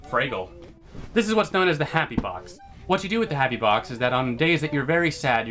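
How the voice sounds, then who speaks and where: Male vlogger, punchy and sharp, playful mock-serious delivery. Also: mid-range voice. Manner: playful, mock-dramatic presenting. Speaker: vlog presenter. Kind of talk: jokey scripted vlog intro.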